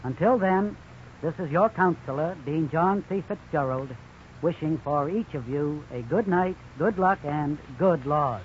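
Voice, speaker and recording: A man's voice: on the high side, measured pace. A man delivering formal, explanatory scripted legal commentary as a radio counselor. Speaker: legal counselor, law school dean. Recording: muffled, hissy 1950s radio recording.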